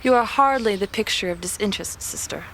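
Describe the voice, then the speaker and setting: An actress playing a gentle, sensible young woman in an audio drama, sincere and mildly teasing. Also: mid-range voice, fast talker, slight background noise, lightly acted, poor audio. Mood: gently teasing.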